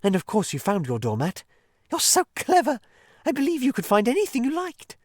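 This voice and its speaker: A nimble, sharp-tongued water rat from a storybook, put on by a male reader, pitched well above his own voice and pushed loud. He bosses and mocks with a quick, lively lilt that jumps all over the place. The sound is close and dry. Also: no echo, heavily acted. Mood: mocking sarcasm. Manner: sarcastic, brisk, bossy. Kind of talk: storybook reading.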